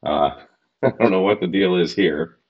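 A man's voice: low-pitched, normal speed, highs cut off. A man in his thirties walking through a radio programming demo in a casual, frank way, with exasperated asides, recorded at a home workbench. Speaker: radio gear reviewer. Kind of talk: casual screen-recorded tutorial.

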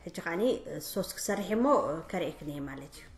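A woman's voice: on the low side, racing, a little mellow, faint music behind. A woman, a native Tigrinya speaker, chatting her way through a cooking demonstration at home and often speaking straight to her viewers. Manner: chatty, explains step by step, often addresses viewers directly. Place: home kitchen, indoors. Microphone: camera mic, speaker off-screen behind the camera. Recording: echoey home kitchen.

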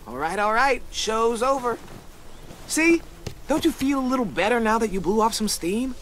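Game role: cheeky teen boy survivor, high voice, swooping delivery, playful and theatrical. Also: expressive, normal speed, fairly bright tone, slight background noise. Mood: upbeat, teasing. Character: cheerful, cocky, joking teenage boy survivor. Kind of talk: video game voice acting.

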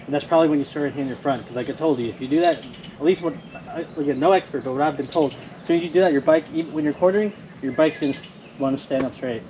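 A man chatting outdoors by a lake, explaining in an animated way. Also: a little high, fast talker. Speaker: motovlogger. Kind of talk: casual chat on scene. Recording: muffled camera audio, loud background noise.

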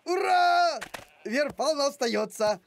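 A man voicing a friendly, simple-minded talking cartoon dog with a gravelly, folksy, fumbling delivery. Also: very high-pitched, lively intonation, a little slow, slight background noise, heavily acted. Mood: overjoyed. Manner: hesitant, folksy, fumbling for words.

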